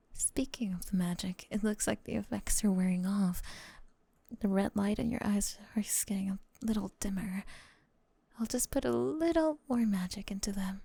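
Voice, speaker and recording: A woman's voice: mid-range voice, gravelly, dark tone, breathy edge, no echo. A woman voicing a doting, possessive snake-girl girlfriend with sweet menace, in an intimate, coaxing ASMR roleplay that often drops to a whisper. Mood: possessive, smug.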